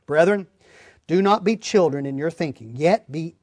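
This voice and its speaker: A man's voice: a little high, normal speed, darkish tone, no room sound, studio quality. A man preaching a verse-by-verse Bible lesson in an emphatic, folksy, plain-spoken way. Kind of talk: Bible-teaching sermon, verse-by-verse reading and explanation.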